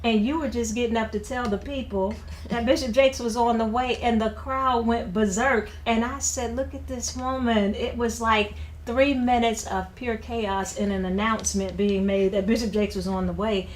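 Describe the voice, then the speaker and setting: A woman's voice: on the high side, steady tone, moderate pace. A woman hosting an interview. She encourages her guest with frequent affirmations. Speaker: interviewer, host. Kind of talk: interview chat.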